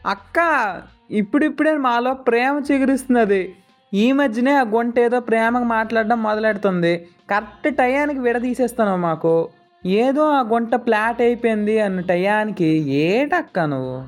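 A woman voicing a cheeky, flirtatious male pet dog with cocky, rustic speech in an animated story. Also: on the high side, normal speed, faint music behind, close to an everyday voice. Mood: lovestruck. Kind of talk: animated moral story voice acting.

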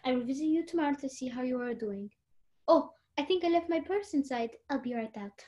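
High, animated radio-play villainess played by a young woman, a polished society lady who takes charge and schemes. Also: brisk. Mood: stern, conspiring. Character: Lady Eleanor, commanding, scheming society lady hiding her crimes.

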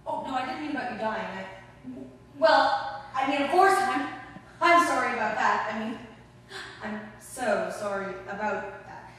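A woman playing a nervous, flustered visitor in an amateur stage comedy, halting and stammering as she talks. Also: on the high side, expressive, fairly bright tone. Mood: awkward, apologetic. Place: large rehearsal stage set, camera far off. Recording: echoey hall from far off, faint noise.

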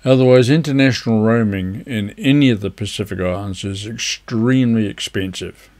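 An older man around his seventies, giving an informal, measured instructional talk to camera as a presenter. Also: on the low side, darkish tone. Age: older, around 70s. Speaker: amateur radio operator, video presenter. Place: indoors, seated before a virtual beach backdrop.

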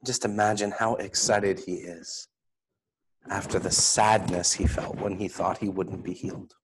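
A middle-aged man, a priest, reading a children's Bible story aloud in an expressive, deliberate way at a desk in a small room. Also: deep voice, background noise.

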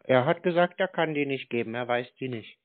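Male caller, mid-pitched, colloquial and stubbornly direct, heard through thin phone-line audio. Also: brisk. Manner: insistent, blunt, colloquial. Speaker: caller confronting a call centre. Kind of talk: phone conversation. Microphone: telephone line. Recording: tinny, narrow-band phone call recording.

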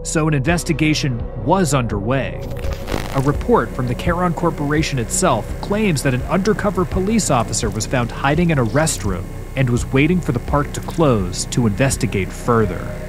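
A man delivers scripted horror narration as a host. His pitch moves in a lively, expressive way, giving the storytelling an ominous, dramatic feel. Music plays clearly underneath his voice. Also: normal speed. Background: background music.

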